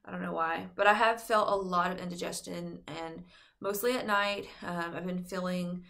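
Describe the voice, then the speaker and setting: A woman's voice: lowish voice, fairly even intonation, normal speed, creaky, a bit bright. A young adult woman, heavily pregnant and a little short of breath, giving a casual, rambling vlog update full of fillers. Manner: chatty, rambling, many fillers and "like". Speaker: vlogger.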